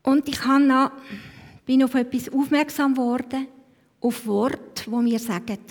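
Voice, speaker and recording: A Swiss woman leading a church service, speaking reflectively and reading scripture in a slow voice that sinks to a hush at phrase ends. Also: high voice, steady tone. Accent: Swiss German. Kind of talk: devotional talk and scripture reading. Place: church hall. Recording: long hall reverb.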